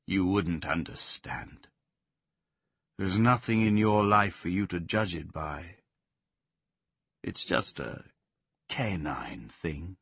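A man voicing a brooding male sheepdog who speaks slowly and withdrawn. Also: low voice, a bit bright, husky, dry recording, studio quality, exaggerated voice. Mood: sullen. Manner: slow and withdrawn.

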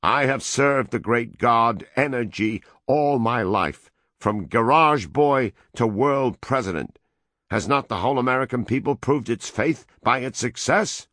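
A man voicing a domineering male world president, loud, preaching and dictatorial. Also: mid-range voice, measured pace, no echo, clean studio sound, pitched above the performer's own voice, lightly acted. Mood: triumphant.

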